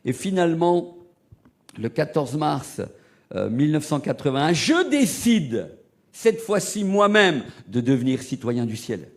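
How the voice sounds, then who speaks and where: A middle-aged man from Portugal, preaching a sermon and telling his own testimony, rising to loud emphatic peaks and dropping to hushed low asides. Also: very animated, measured pace. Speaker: evangelist preacher.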